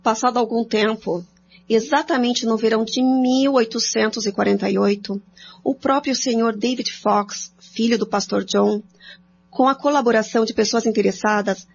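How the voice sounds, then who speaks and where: A woman's voice: fairly high pitch, vocal fry, a little hiss or hum. A woman with a Brazilian accent narrating a radio drama, telling the scripted story directly to listeners. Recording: dull lo-fi, faint music underneath.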